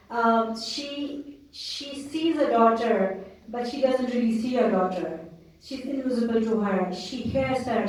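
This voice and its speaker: A woman's voice: on the high side, recorded from a distance. A woman answering at a film festival Q&A, speaking earnestly and reflectively about her role. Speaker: actress on a panel. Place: cinema auditorium. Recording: roomy hall, mic from afar.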